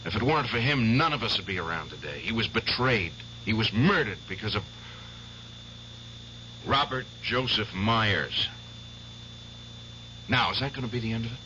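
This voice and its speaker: An actor playing a bitter, obsessed and worn-out war veteran in a radio drama, speaking in an intense, halting way. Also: male voice, on the low side, lively intonation, sharp tone. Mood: bitter, demanding. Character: bitter, obsessed, exhausted war veteran piano player seeking justice. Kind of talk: 1970s radio drama acting. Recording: dull old radio recording, faint hum.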